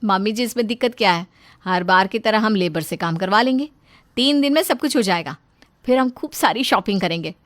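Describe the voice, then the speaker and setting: A woman's voice: animated, a little fast, a bit bright, dry recording, lightly acted. A woman voicing a snobbish, flashy young daughter-in-law with a haughty, nagging manner in a storybook tale. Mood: boastful. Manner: haughty, nagging, high-swooping. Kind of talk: storybook character acting.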